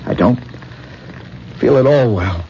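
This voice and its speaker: Melodramatic lovesick actor, muffled old radio. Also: male voice, mid-range voice, expressive, darkish tone, slight background noise. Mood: feverish, agitated. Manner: halting, repetitive, theatrical delivery. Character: vain lovesick stage actor, feverish, obsessive, melodramatic. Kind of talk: 1970s radio drama acting. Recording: muffled vintage radio recording, music behind.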